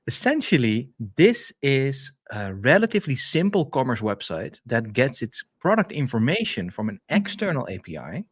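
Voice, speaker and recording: A man with a Dutch accent casually walking through a software demo on a live stream, chatty and full of fillers and restarts, heard over a video call. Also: mid-range voice, no echo. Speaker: developer advocate co-hosting a stream. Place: home office, video call.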